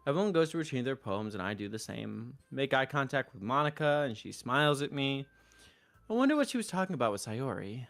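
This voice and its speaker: A man's voice: mid-range voice, sing-song, fairly quick, vocal fry, a bit bright. A man streaming a game, reading a visual novel's narration and dialogue aloud into a close mic.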